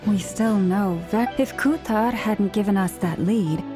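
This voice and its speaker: A woman playing an earnest, gentle character in a fantasy video game, speaking scripted lines sincerely and carefully. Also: medium pitch, unhurried, music in the background, natural voice.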